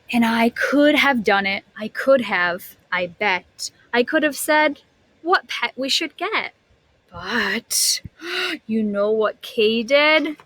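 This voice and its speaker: A woman's voice: on the high side, lively intonation, very slow, a bit bright. A woman teacher reading a picture book aloud to young children, expressively, stretching words and putting questions to her listeners.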